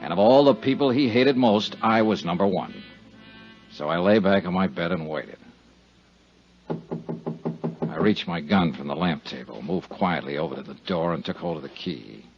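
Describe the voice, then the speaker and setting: Casting: a tough, dry-witted detective, deep and lively in delivery, muffled old radio sound. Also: male voice, normal speed, pauses often, music in the background. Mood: grim narration. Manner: clipped, hard-boiled, dry. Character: tough, wry male private investigator, hard-boiled and sardonic. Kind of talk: 1950s radio detective acting, first-person narration. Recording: muffled 1950s radio drama recording.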